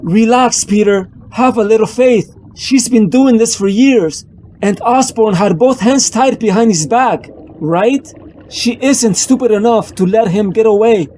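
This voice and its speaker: A man's voice: high voice, unhurried, mellow, a little hiss or hum, rough recording. A man voicing a confident female cat burglar with a breezy, reassuring manner.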